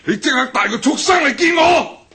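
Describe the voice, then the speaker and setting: An actor with a Hong Kong Cantonese accent playing a stern older gang boss in a radio drama, gruff and interrogating. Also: male voice, extremely high pitch, lively intonation, normal speed, full character voice. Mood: furious. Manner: gruff, interrogating, flares into shouting.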